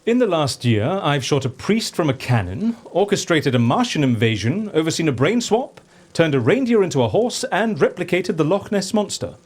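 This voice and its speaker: Gleeful male eccentric, high voice, hugely animated, grandly articulate, comic audio drama. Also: normal speed. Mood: gleeful relish. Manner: theatrical, excitable, grandly articulate. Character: gleefully eccentric male interviewer who relishes absurd schemes.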